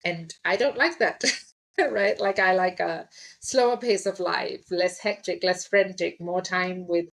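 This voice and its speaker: A woman's voice: lowish voice, unhurried, vocal fry, low-quality recording. Woman from Singapore, reflective guest. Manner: friendly, reflective, frequent laughs and fillers. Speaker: podcast guest. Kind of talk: casual interview chat.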